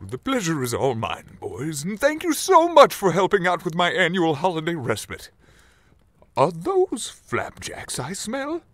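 A man voicing a jolly, gracious old Santa Claus with a hearty, drawn-out delivery in an audio drama. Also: fairly high pitch, huge pitch swings, fairly bright tone, no echo, pitched above the performer's own voice, full character voice.

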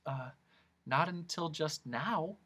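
A narrator as a hesitant, bright-voiced young boy. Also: medium pitch, takes it slowly, breathy edge. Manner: hesitant, polite, stammering. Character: timid, curious, polite young boy. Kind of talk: children's audiobook character voice.

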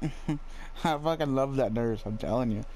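Casting: male let's-player, unhurried, sweary, muttering casual commentary over light background noise. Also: mid-range voice, darkish tone. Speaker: gamer recording a playthrough.

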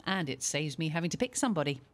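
A woman's voice: sits low, animated, fast talker. An actress playing a chatty, persuasive village woman in a radio soap. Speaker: actor.

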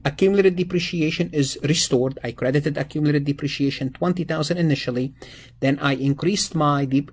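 Male lecturer, raspy and a little high in pitch. Explanatory walkthrough delivery, repeats key phrases, self-answered questions. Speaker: accounting lecturer. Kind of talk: step-by-step exam problem walkthrough, explanatory teaching. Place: indoors, voice-over on a screen-recorded slide lesson.

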